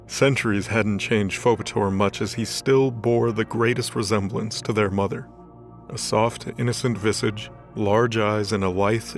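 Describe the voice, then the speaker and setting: A man with a fairly low voice narrates a scripted story. He reads descriptive prose as the narrator of an audio drama, with light music underneath. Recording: soft background music bed.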